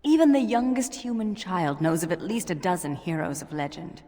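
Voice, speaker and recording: An actress playing a proud, earnest young elf woman in a video game, speaking in a measured, wistful way. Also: on the low side, lively intonation, warm tone, big-room sound, lightly acted. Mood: wistful, indignant.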